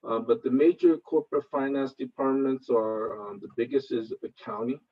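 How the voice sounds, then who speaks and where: A man in his fifties, born in Korea, with a Los Angeles Koreatown accent, giving a casual info session presentation on a video call and often pausing on filler sounds. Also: mid-range voice, steady tone. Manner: hesitant, many filler sounds. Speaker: presenter, finance professional. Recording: slightly dull video call audio.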